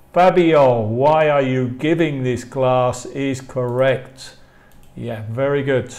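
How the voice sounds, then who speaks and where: A man with an English accent teaching a language lesson, enunciating carefully and repeating phrases. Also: mid-range voice. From England. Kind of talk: live online language lesson, reading model questions and answers.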